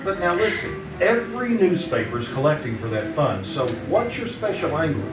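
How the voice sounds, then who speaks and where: Newspaper crime photographer, male, played by a stage actor: brash, wry, very animated old-time radio delivery, off-mic with music. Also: a little high, normal speed. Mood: brisk, eager. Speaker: amateur radio troupe actor. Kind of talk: old-time radio drama acting, live stage performance. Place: small theater, live on stage. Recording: roomy theater stage, distant microphone.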